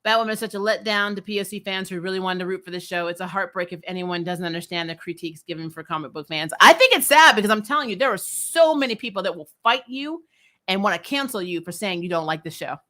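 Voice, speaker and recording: Bright-voiced, blunt woman host. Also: mid-range voice, fast. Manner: animated, emphatic, blunt, swears freely. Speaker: host of a TV show recap vlog. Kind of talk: casual opinionated chat, answering viewer comments.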